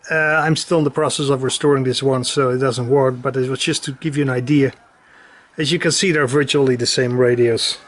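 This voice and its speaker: A man giving a casual technical show-and-tell as a radio restorer, halting and searching for his words as he explains. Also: medium pitch. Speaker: radio restorer presenting a demonstration.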